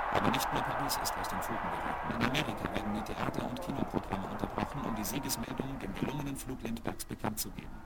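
A man narrating a German children's audio drama in a scripted, dramatic documentary style. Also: on the low side. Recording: vinyl rip with loud background noise.

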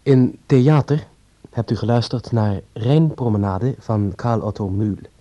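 A man's voice: mid-range voice, measured pace, mellow. A man formally announcing credits as a radio announcer. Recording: dull old radio recording.

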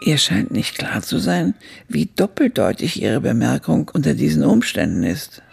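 An actress playing an elderly woman who looks back on her youth in a radio play, husky and gravelly, with a measured, reflective delivery. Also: deep voice, big pitch swings, over music, heavily acted. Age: older. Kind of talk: scripted radio drama narration.

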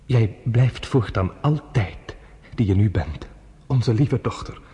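A gentle fatherly judge. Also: on the low side, expressive, slow pace, husky, in a large hall, dull audio, heavily acted. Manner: soft, fatherly and reassuring. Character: kind older male Danish judge, gentle foster father. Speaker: radio drama actor. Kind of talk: radio play acting.

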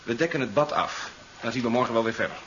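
An actor playing a brisk, self-assured male scientist in a 1970s radio play, speaking decisively. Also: mid-range voice, close to an everyday voice. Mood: matter-of-fact. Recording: dull vintage radio recording, faint noise.

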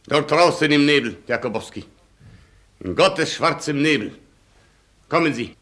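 A man's voice: fairly high pitch, lively intonation, measured pace, sharp tone, exaggerated voice. A man playing a proud, passionate Polish colonel with a grandiloquent, declamatory manner in a German radio play. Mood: stern, fervent. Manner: pompous, declamatory.

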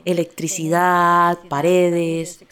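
A woman artist giving unhurried conversational testimony about her own experience. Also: lowish voice, little pitch movement, fairly bright tone.

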